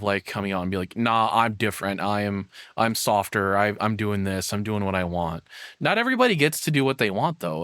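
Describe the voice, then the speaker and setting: A man's voice: medium pitch, a bit bright, no echo. Dry, close-sounding man reacting casually. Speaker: streamer reacting to a video.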